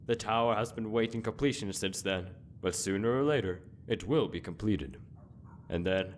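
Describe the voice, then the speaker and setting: Man acting a deep-voiced, zealous grand inquisitor. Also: slight background noise, close to an everyday voice. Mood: grandiose, threatening. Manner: solemn, deliberate, rhetorical speechmaking. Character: zealous old male inquisitor, grave, self-righteous, cracking with anguish. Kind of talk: dramatic monologue in a fantasy game mod.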